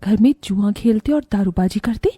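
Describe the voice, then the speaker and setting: A woman voicing a long-suffering, caring village mother in a cartoon moral story, with an emotional, drawn-out delivery. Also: medium pitch, mellow, breathy edge, no echo, clean studio sound, natural voice. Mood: angry, fed up.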